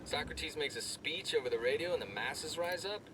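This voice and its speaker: An actor playing a skeptical special forces soldier in a video game, with a sardonic way of talking. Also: male voice, fairly high pitch, expressive, normal speed, close to an everyday voice. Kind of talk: video game cutscene acting. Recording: distant voice, loud outdoor combat noise.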